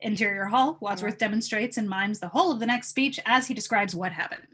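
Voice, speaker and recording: A woman narrating stage directions from a script at an informal table read, with a husky voice. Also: mid-range voice, a little fast, a bit bright.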